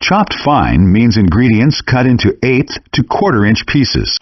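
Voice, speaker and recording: A man reading scripted cooking-game instructions in a measured, clearly enunciated, instructive way, in compressed audio. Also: mid-range voice, no echo, muffled recording.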